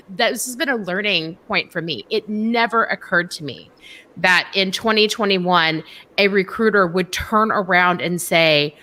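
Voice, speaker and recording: Woman hosting a panel over video call, bright voice, fired up and animated. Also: fairly low pitch. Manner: impassioned, animated, quick asides. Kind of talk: casual panel discussion.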